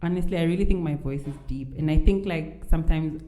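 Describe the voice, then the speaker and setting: A woman with a low pitch and a mellow, darker tone speaks with a mixed Nigerian and Namibian accent. She talks in a candid, encouraging way and stresses her points with conviction. Her voice carries a roomy echo. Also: fast talker. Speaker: podcast guest. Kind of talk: casual conversation. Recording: echoey room.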